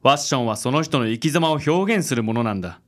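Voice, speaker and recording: A man's voice: medium pitch, expressive, quick pace, close to an everyday voice. A man playing a suave, overzealous, self-styled handsome man in a comic radio drama, pompous and passionate, sprinkling in English words. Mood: indignant, passionate.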